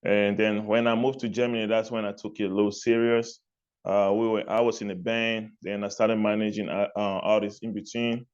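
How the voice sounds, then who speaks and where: A man from Cameroon, answering interview questions in a relaxed way, repeating phrases and laughing lightly between points. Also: on the low side, fairly quick, clear, resonant tone, dull audio. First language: not English. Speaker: guest, music artist and label executive.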